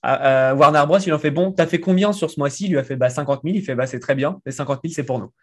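A man's voice: a little high, fast. A man in his thirties from France, a guest talking casually in an interview from his living room, chatty with many fillers. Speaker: guest entrepreneur. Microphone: desk mic in frame.

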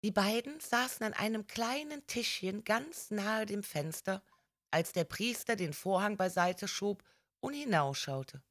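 A woman narrating a story as an audiobook reader, with careful, measured, scripted delivery. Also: medium pitch, animated, a bit bright, no echo, studio quality.

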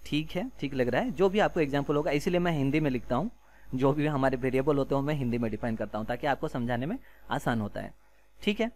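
A man's voice: mid-range voice, darkish tone. Indian man teaching a casual coding tutorial. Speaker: programming tutorial instructor. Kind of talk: informal step-by-step screencast tutorial.

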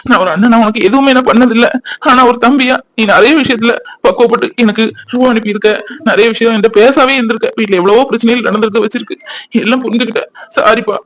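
A man's voice: extremely high pitch, fairly even intonation, fast talker, fairly bright tone. An actor playing a remorseful elder brother and father who keeps apologising in a family drama. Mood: apologetic. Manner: apologetic, pleading. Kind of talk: web serial drama acting.

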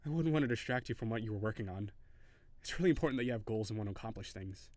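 A man playing a cheery, shy, flirty kitsune barista in an intimate audio roleplay, speaking hesitantly and giggly, with gentle encouragement. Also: fairly low pitch, expressive, very fast, no echo, close to an everyday voice. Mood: warm, encouraging.

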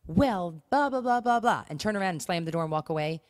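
A woman with a fairly low voice talks as a podcast co-host in a very dry, close recording. She makes her points emphatically and voices example phrases as she goes. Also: lively intonation, fast, dry recording. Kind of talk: conversational talk on marriage advice.

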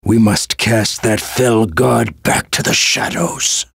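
An older man playing a humble, stern old knight and grandfather in a video game, with a gravelly, husky voice and a measured, grave manner. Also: lowish voice, a bit bright, dry recording, studio quality, exaggerated voice. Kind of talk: video game character voice acting.

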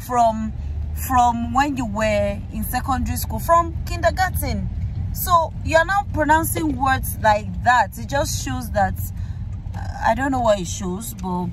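A woman's voice: fairly high pitch, unhurried, noisy background. Nigerian woman ranting in a car. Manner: animated, emphatic, frequent fillers and restarts. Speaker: vlogger. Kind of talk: casual rant to camera. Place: inside a parked car. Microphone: phone camera held close.

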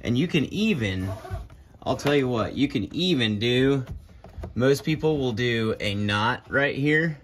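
A young man casually walking through steps of a how-to under a carport at night, speaking in relaxed, plainspoken directions to a phone camera as he moves around. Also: mid-range voice, measured pace, a little hiss or hum. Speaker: kayaker demonstrating gear. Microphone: phone camera, moving around the car.

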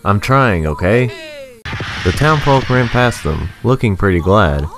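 A man's voice: lowish voice, expressive, unhurried, noisy background. A man reading a scripted, rhyming video game review in a sarcastic, sing-song manner.

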